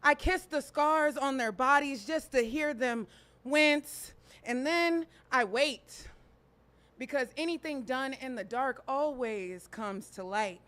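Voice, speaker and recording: A woman performing her own spoken word poetry at an open mic, reciting in a slow, deliberate way. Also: high-pitched, a bit bright. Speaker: poet.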